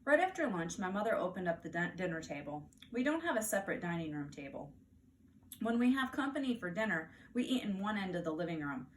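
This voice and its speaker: A woman with an American accent reads a children's story aloud as a teacher, animated and deliberate for young listeners. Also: on the low side. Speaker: teacher reading aloud to first graders. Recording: distant mic, faint background noise.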